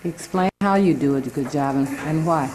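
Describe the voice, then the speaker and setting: A woman teacher runs her class with a firm, directive manner, prompting students with quick questions. She is heard from a distance across a roomy, echoing classroom. A faint hiss sits under the recording. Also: low-pitched, darkish tone. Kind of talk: leading a classroom discussion. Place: school classroom.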